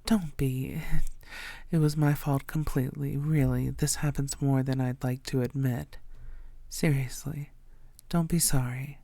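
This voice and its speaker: Woman voicing female vampire, coy and possessive, creaky voice, breathy deliberate murmur, intimate audio roleplay, very dry close sound. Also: a bass voice, steady tone, dark tone, no echo, full character voice. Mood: sweet, coaxing.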